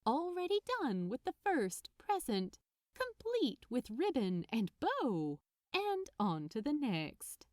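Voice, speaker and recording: A woman's voice: sits high, wildly swooping pitch, slow, dry recording, clean studio sound. A woman narrating fiction expressively as an audiobook reader, reading from a script.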